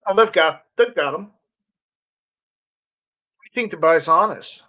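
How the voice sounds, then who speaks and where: Higher-voiced man hosting a casual live stream. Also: expressive, sharp tone. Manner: halting, repeats words. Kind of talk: casual chat, reading out viewer comments.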